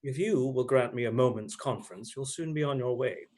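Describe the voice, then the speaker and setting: A man's voice: mid-range voice, brisk, a little mellow, lightly acted. A man playing a bold, teasing, roguish outlaw leader in a radio play, with sardonic courtly manners. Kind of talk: theatrical radio play acting.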